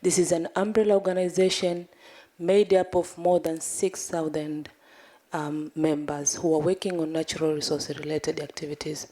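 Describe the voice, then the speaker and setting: A woman's voice: low voice, flat delivery, normal speed, warm tone. A woman from Tanzania, a civil society delegate, speaking earnestly from the floor of a large conference hall, pleading and restarting as she thinks aloud. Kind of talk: impassioned comment from the floor.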